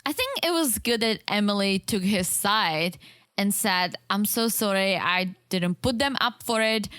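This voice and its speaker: A woman co-hosting a podcast about a reality show, chatting casually and acting out quotes in an animated way. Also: medium pitch, a little slow, dry recording, studio quality. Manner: animated, acts out quotes from the show, frequent restarts. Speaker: podcast co-host.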